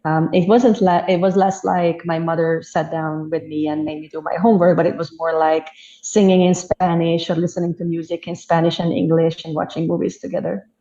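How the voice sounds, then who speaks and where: A woman, a storyteller chatting in an interview, thoughtful and quick to laugh. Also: fairly low pitch, level tone, warm tone. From Hungary. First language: Hungarian. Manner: thoughtful, easy laughs, restarts mid-sentence. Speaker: storyteller guest. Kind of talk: casual interview chat. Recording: dull roomy sound.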